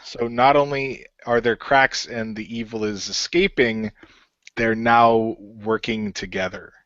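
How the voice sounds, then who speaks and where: A man's voice: on the low side, steady tone, measured pace, fairly bright tone, dry recording. Casual male player, muffled dry recording. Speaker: player in a role-playing radio show. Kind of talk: casual role-play and banter. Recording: dull, lo-fi audio.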